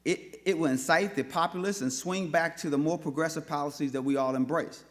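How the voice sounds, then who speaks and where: A man from North Minneapolis addresses a forum audience with fervent conviction. His voice sits a touch high, and he circles back on words as he pushes his point. He speaks as a public speaker rallying a room. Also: fairly quick, fairly bright tone. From North Minneapolis, USA. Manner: impassioned, repetitive restarts. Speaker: panel speaker. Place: forum hall with live audience.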